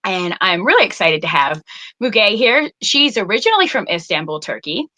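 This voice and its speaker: A woman's voice: mid-range voice, sing-song, normal speed, pushed and bright. A woman guest-hosting an interview show, introducing her guest in a friendly way with lots of fillers. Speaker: guest host.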